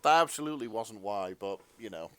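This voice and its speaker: A man's voice: fairly low pitch, expressive, brisk, a crowd in the background. A man co-hosting a podcast in casual banter, hesitant and self-deprecating as he talks. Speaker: podcast co-host.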